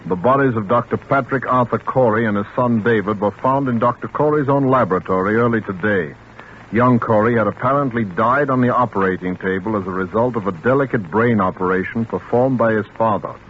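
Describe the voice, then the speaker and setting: A male actor plays a 1940s radio newsman delivering a grim bulletin. He is formal, measured and detached, on a narrow phone-like recording with faint noise. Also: on the low side, lightly acted. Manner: formal, measured, matter-of-fact. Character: impersonal newsman reading a grim death report.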